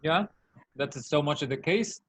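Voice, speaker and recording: A man's voice: on the high side, lively intonation, moderate pace, fairly bright tone. A man interviewing a guest, politely putting questions and responding to the answers.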